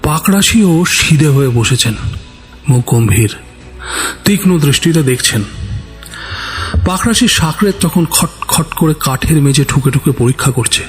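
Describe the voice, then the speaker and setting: A man's voice: fairly high pitch, lively intonation, measured pace, long pauses. A man narrating a scripted story in a theatrical, suspenseful way. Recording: background music.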